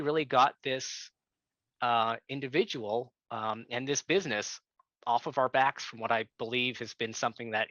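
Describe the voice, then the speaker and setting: A young man from Canada, a tenant organizer, speaking on an online workshop call in an earnest, halting way with many fillers and restarts. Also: medium pitch, bright, forceful tone, no echo. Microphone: computer mic on a video call. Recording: dull, slightly lo-fi video call audio.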